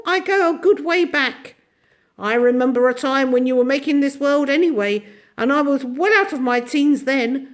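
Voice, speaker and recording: Mrs Corey, a shrill tiny old woman. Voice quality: shrill, squeaky. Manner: swings between chatty sweetness and soft menace. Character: tiny ancient shrill old woman shopkeeper, bossy and fickle.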